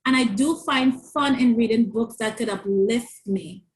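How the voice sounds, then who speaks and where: A woman with a stutter and a lisp talks as an interview guest in a fairly high voice. She speaks earnestly and often repeats a word as she restarts. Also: a little slow, a little hiss or hum. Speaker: author and parent coach. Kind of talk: interview guest sharing her story.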